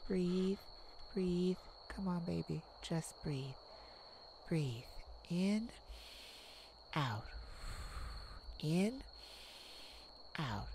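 A woman playing a tenderhearted warrior mage in a soft ASMR romantic roleplay, speaking in a hushed, soothing, halting way. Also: fairly low pitch, a little hiss or hum, rough recording. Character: tenderhearted young warrior mage comforting a werewolf lover. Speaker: audio roleplay performer.

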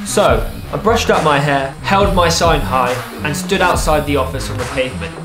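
Young man telling a lively story. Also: on the high side, moderate pace, reverberant, music in the background. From England. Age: young adult. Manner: lively, wry storytelling with playful asides. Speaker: vlogger. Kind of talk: storytelling vlog narration. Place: small room with a desk lamp, indoors.

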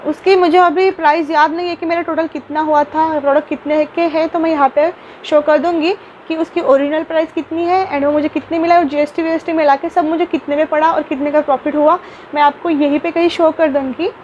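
High-voiced young woman vlogging at home. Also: steady tone, brisk, a little mellow, muffled recording, a little hiss or hum. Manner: chatty, many fillers and restarts, mixes English words into Hindi. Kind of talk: casual unboxing vlog. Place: small room indoors.

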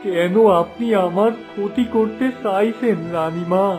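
A man's voice: sits high, measured pace, music in the background. A man playing a poor, mistreated palace garden servant in a fairy-tale cartoon, pleading and aggrieved.

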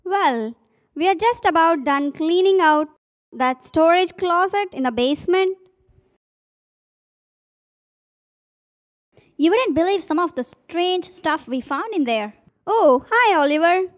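A woman puts on a caring, sensible grandmother for a children's puppet show. Her voice is high, and she talks in simple, practical terms for young listeners. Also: expressive, a little slow. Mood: chatty.

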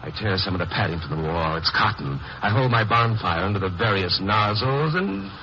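An actor playing a steady, wry lawyer-sleuth hero in a 1950s radio crime drama. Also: male voice, fairly low pitch, brisk. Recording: muffled, noisy old radio recording.

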